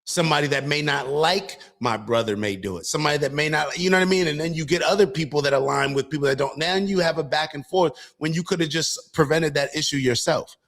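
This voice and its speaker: A man's voice: a little high, fast talker, few pauses, a bit bright. Earnest man hosting political commentary. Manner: earnest, restarts sentences, addresses viewers as y'all. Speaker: show host.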